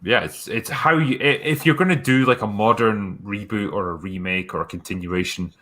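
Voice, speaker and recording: A man's voice: on the low side, moderate pace. A man hosting a casual podcast chat, reading out viewer questions. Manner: relaxed, reads viewer questions aloud. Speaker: host.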